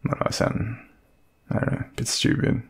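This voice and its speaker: A young Australian man playing a shy, lovestruck friend in an intimate romantic audio roleplay, speaking hesitantly in a deep, gravelly, breathy murmur. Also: normal speed, close to an everyday voice. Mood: bashful, teasing.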